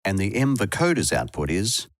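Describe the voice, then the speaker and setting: A man's voice: lowish voice, sing-song, normal speed, faint music behind. A man presenting a tutorial in scripted instructional narration, recorded as a close, dry voice-over. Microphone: close dry voice-over microphone.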